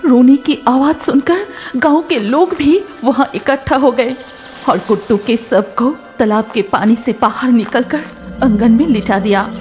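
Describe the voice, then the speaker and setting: Woman reading out a story as narrator, highish voice, music going behind her. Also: unhurried, darkish tone.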